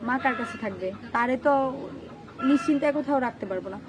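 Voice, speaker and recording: A young woman around twenty speaks with a Bangladeshi accent in a high-pitched voice. She answers as a guest in a casual interview. A crowd can clearly be heard in the background. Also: fairly quick. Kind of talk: casual interview answers about personal life.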